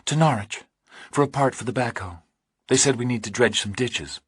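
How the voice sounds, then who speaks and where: Thriller audiobook role: man playing a wary, frightened woman, urgent, husky, lifted pitch, close dry sound. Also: normal speed, dry recording, natural voice. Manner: urgent, questioning.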